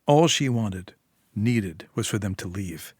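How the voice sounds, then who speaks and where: A man's voice: lowish voice, expressive, slow, darkish tone, breathy edge, no echo. A man reading a dramatic short story as a storyteller, in scripted narration.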